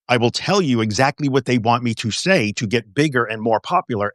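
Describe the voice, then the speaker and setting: A man's voice: lowish voice, dry recording. Frank, emphatic man in a dry room. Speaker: therapist and guest. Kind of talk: conversational interview.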